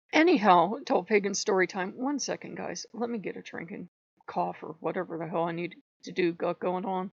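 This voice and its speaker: A hoarse woman hosting her channel, telling a story in a rambling, casual way and stopping to clear her throat. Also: fairly low pitch, moderate pace, dry recording. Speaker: channel host. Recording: dull lo-fi recording.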